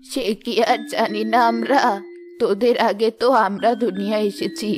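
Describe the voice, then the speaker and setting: A woman voicing a kindly, careworn village grandmother in an animated moral story, affectionate and gently scolding. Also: mid-range voice, moderate pace, lightly acted. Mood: wistful. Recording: music behind the voice.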